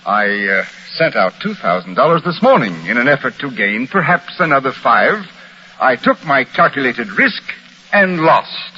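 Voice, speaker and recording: An actor playing a pompous military-minded swindler in an old radio crime drama, speaking in formal, wordy phrases. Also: male voice, mid-range voice, sing-song, moderate pace, sharp tone, breathy edge, exaggerated voice. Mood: smug, unruffled. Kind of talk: 1940s-50s radio crime drama acting. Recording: muffled, faintly noisy old radio recording.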